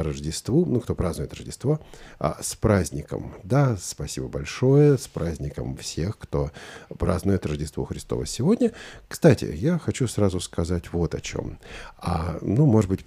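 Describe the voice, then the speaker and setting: A man hosting a live studio talk show, lively and joking with his co-host. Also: sits low, husky.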